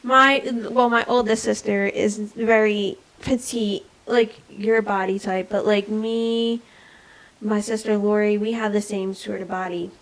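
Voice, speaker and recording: A woman's voice: mid-range voice, steady tone, a little slow, rough recording. A young woman co-hosting a podcast, talking casually in a rambling way full of restarts. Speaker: podcast co-host and editor. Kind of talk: casual chat.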